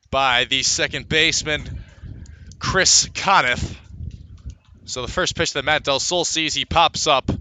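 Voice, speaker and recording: Male radio sportscaster, very bright punchy voice, slightly high pitch, live baseball commentary. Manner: lifts into excitement on plays, conversational between pitches. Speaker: radio play-by-play announcer.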